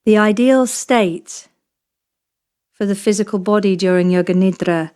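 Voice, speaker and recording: A woman yoga nidra teacher leading a guided relaxation meditation in a soothing, measured way, leaving long pauses between short phrases. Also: mid-range voice, warm tone.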